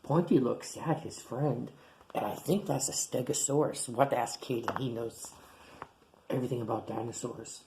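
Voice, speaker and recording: A man who teaches young children, reading a picture book aloud in a playful, animated way with gasps and chatty asides. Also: mid-range voice, moderate pace, background noise. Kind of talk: storybook read-aloud for children.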